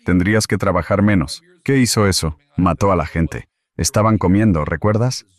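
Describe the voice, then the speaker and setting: Male guest commentator, very low voice, dry close sound, emphatic, repeats himself. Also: moderate pace, no echo. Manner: emphatic, repeats key phrases.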